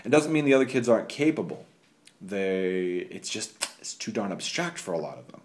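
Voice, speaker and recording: A man's voice: on the low side, very animated, normal speed. A man hosting a casual conversation, chatty and rambling, asking questions and telling stories. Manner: chatty, rambling, laughs at his own stories. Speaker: podcast host.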